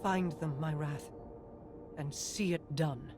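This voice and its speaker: An actress playing a regal, grave fantasy queen in a video game, measured and commanding. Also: low-pitched. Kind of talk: scripted video game dialogue. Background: game music.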